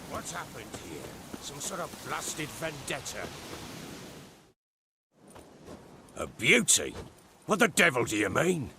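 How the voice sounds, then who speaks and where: A man's voice: a little high, big pitch swings, normal speed, creaky, very noisy. A man playing a haughty, foul-mouthed lord in command of soldiers in a video game, imperious and scornful. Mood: baffled, irritated.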